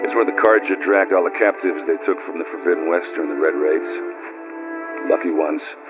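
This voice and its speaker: Man as a deep-voiced, wry rough warrior. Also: dull audio, music in the background, natural voice. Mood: grim warning. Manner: halting, colloquial, wry. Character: rough, hard-drinking, loyal warrior man, gruffly affectionate. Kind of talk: video game voice acting.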